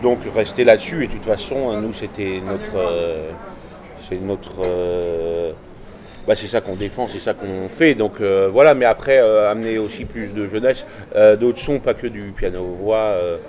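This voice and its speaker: Guest, man in his forties, slightly low voice, halting answers, lots of hesitation and repeated yes. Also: darkish tone. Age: 40s. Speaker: music venue director, singer. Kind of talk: interview guest.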